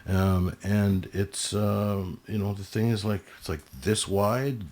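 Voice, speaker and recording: A man's voice: lowish voice, slow pace. A middle-aged French Canadian man, a guest telling stories with lots of laughter in a casual podcast chat. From Montreal, Quebec. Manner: animated storyteller who laughs a lot.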